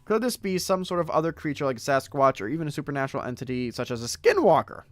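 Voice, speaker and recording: A hoarse man hosting a podcast stream, reading stories aloud and rambling to his chat. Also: on the high side, sing-song, quick pace, no room sound. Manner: rambling, talks to chat while browsing. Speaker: podcast host.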